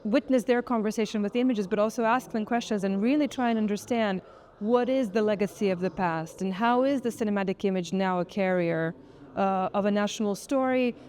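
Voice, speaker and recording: A woman with a soft-edged, mellow voice at a medium pitch speaks as an artist in an interview. They explain their project in a reflective, articulate manner, often softening phrases as they think them through. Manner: articulate, thoughtful, frequent "kind of" hedging.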